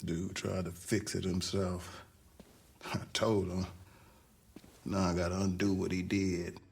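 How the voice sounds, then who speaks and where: A gruff garage mechanic in a video game. His voice is low and gravelly, and he grumbles slowly in few words. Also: little pitch movement, a little mellow. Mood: grumbling, dry. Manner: gruff, laconic. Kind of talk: naturalistic game cutscene dialogue.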